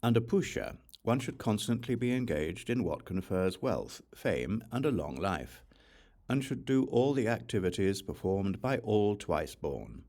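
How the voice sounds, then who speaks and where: A man reading an ancient Buddhist text as an audiobook narrator, in measured, solemn short phrases. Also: on the low side, very animated, darkish tone. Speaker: audiobook reader.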